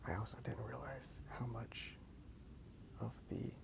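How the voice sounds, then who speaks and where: A man rambling softly and hesitantly in a hushed ASMR style, recorded outdoors on a handheld phone. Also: mid-range voice, level tone, a little slow, creaky, noisy background. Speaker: host of a drawing ASMR video. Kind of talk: soft-spoken ASMR rambling. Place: outdoors in a park.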